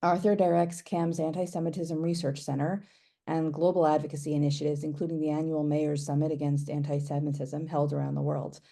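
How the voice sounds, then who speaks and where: A woman's voice: sits low, level tone, brisk, mellow. A woman hosting a video call, reading formal introductions in a polished way. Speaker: host.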